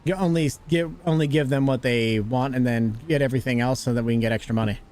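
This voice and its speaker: A man's voice: mid-range voice, fairly even intonation, quick pace, darkish tone. A young American man hosting a casual gaming livestream, greeting viewers and joking as he plays. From United States. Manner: chatty, greets viewers, jokey. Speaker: livestream host.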